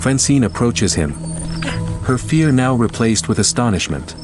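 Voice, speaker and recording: A man's voice: medium pitch, lively intonation, measured pace. A man reading dramatic scripted narration as the narrator of an audio drama. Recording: music under the narration.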